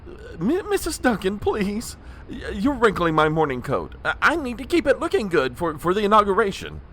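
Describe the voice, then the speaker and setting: A man's voice: high voice, huge pitch swings, normal speed, fairly bright tone, heavily acted. A man playing a flustered, proper chief of staff in a comedy audio drama, formal and prone to nervous stammering. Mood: flustered, pleading.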